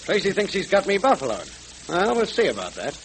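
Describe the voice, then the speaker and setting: High-voiced, blustering gang boss. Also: male voice, huge pitch swings, a little fast, exaggerated voice. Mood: defiant anger. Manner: tough, emphatic gangster talk. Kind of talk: vintage radio serial acting. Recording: crackly old radio recording.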